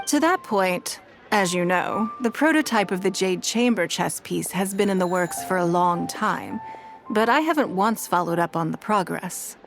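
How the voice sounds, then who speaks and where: A woman playing a composed, shrewd and wealthy businesswoman in a video game, speaking in a measured, formal way. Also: medium pitch, lightly acted. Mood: calm, assured. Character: poised, shrewd, wealthy businesswoman of high standing. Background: background music.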